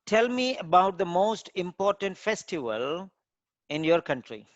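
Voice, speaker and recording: A man, an IELTS trainer, playing a formal examiner in a mock speaking test and asking measured questions. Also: sits high, big pitch swings, dry recording, muffled recording. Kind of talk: mock exam interview.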